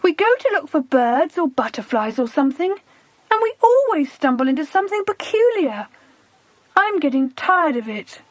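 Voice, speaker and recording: Woman acting high, lively storybook child adventurer. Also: normal speed, no room sound. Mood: weary. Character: excitable, earnest British children on an adventure in a storybook. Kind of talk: audiobook character dialogue.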